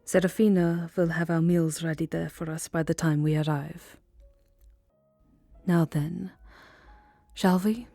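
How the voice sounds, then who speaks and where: Vampire countess, played by a woman: low, mellow, lovelorn and sorrowful, soft formal delivery for ASMR roleplay. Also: fairly even intonation, measured pace, vocal fry, long pauses, no room sound, soft background music, exaggerated voice. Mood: tender, romantic. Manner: soft, hesitant, formal, often dropping into whispers. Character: lovelorn, melancholy vampire countess, burned and self-loathing. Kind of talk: ASMR roleplay voice acting, second-person romance.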